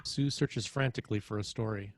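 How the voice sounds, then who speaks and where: A man reading screenplay action as narrator, in clipped, dramatic, measured phrases. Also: fairly low pitch. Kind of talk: scripted screenplay narration, present tense.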